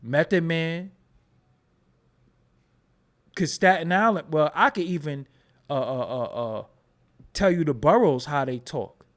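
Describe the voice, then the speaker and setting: A man's voice: on the high side, slow pace, pauses often. A man with a New York accent giving loose, profane commentary and often asking "you know what I'm saying". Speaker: commentary vlogger. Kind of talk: casual commentary.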